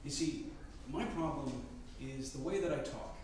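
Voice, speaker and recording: A man in his twenties telling a story on stage, choosing and enunciating each word carefully, with deliberate pauses. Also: mid-range voice, darkish tone, slight background noise. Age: 20s. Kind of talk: live storytelling performance. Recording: roomy live-venue sound.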